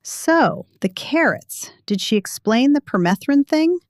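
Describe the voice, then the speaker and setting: A woman's voice: sits high, expressive, unhurried, no echo, clean studio sound, voice pushed up. A woman voicing a blunt female friend in an audio story, prodding in a wry way. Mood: teasing, curious.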